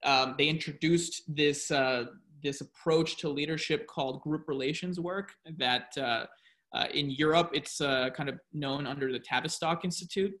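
A man with a notably bright, forward tone answers questions on a podcast. He talks in a casual, reflective way and explains his ideas at length, building them step by step. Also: on the high side, moderate pace. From United States. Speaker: podcast guest, professor. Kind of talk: casual interview talk.

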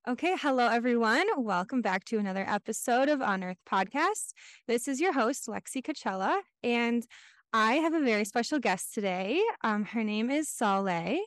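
A woman hosting a casual podcast interview, gushing and chatty with soft laughs, recorded outdoors. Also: a little high, expressive, normal speed, no echo. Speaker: podcast host.

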